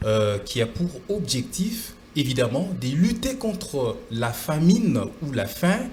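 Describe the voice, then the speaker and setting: A man with a mid-range voice presents a TV studio program. He speaks formally but pauses often on hesitant fillers. Faint ambience hums underneath. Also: mid-range voice, darkish tone, a little hiss or hum. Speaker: TV show host.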